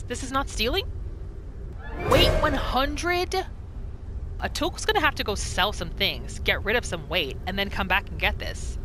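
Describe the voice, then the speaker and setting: A woman narrating her video game roleplay in an informal, expressive and reactive way. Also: high-pitched, background noise. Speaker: gaming roleplay narrator and player. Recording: voice-over with game music behind.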